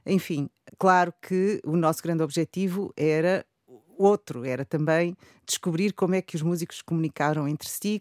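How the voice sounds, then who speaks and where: Woman academic explaining stuff, dry close sound, pauses with eh. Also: on the low side, darkish tone, no room sound. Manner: thoughtful, explanatory, with hesitant fillers. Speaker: researcher and project co-creator. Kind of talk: reflective radio talk.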